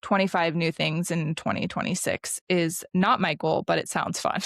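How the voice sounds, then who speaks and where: A woman in her thirties co-hosting a podcast with a low, gravelly voice. She chats casually and wryly, laughing often, recorded dry on a close microphone. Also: steady tone, normal speed, a bit bright, no echo. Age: 30s. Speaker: podcast co-host. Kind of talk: casual chat.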